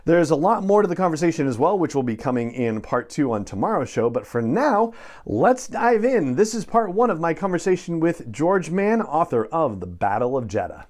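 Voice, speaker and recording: A man's voice: fairly high pitch, very animated, moderate pace, darkish tone. A middle-aged man speaking as a podcast host, introducing and interviewing a guest in a friendly way.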